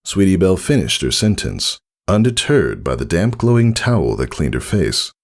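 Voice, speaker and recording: Low-voiced man narrating a story. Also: big pitch swings, normal speed. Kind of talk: scripted fan fiction narration.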